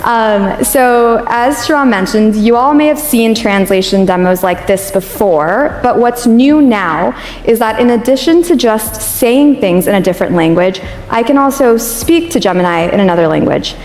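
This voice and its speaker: Higher-voiced woman presenting in an echoey hall. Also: normal speed, hardly any pauses, a little mellow. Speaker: product demo presenter. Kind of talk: live stage demonstration, conversational. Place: stage before an audience. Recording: echoey hall with reverb, faint music.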